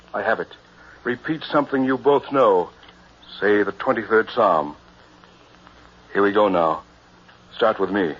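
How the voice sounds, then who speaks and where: An actor playing a sinister, controlling doctor in a 1960s radio drama, speaking in a commanding, hypnotic and deliberate manner. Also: male voice, on the low side, a bit bright, breathy edge. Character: sinister, controlling male doctor running a mind-swap experiment. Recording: dull vintage 1960s radio recording.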